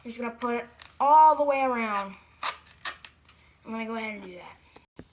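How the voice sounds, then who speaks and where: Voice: mid-range voice, normal speed, slight background noise. A video creator giving a casual how-to craft tutorial, rambling, correcting themselves and repeating points for emphasis. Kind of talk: casual DIY how-to vlog talk.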